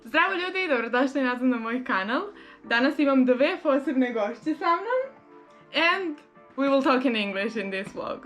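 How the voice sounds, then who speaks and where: A woman's voice: high voice, lively intonation, moderate pace, fairly bright tone. A woman, a native Serbian speaker, hosting a casual chat interview for a vlog. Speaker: vlog host. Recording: music behind the voice. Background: music.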